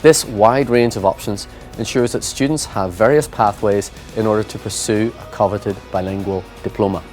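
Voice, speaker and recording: A man's voice: fairly low pitch, steady tone, darkish tone. A man giving formal, scripted narration for a school promotional video. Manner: formal, measured reading. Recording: music bed underneath.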